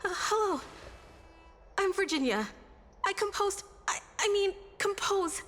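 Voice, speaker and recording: A woman's voice: very high-pitched, lively intonation, fairly bright tone, a little hiss or hum, exaggerated voice. A woman playing a shy, nervous young poet in a video game, speaking timidly. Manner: timid, halting. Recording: long hall reverb.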